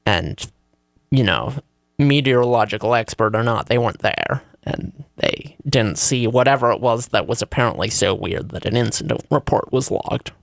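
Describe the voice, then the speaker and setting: A man's voice: mid-range voice, moderate pace, gravelly, no room sound. Male radio co-host, mid register, wry casual news chat, dry studio-style sound.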